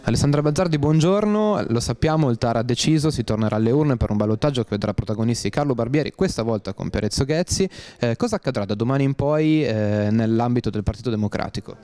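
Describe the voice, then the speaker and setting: A man's voice: mid-range voice, animated, fast, few pauses, warm tone. Formal male TV reporter. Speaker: TV reporter interviewer. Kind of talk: scripted local news interview questions.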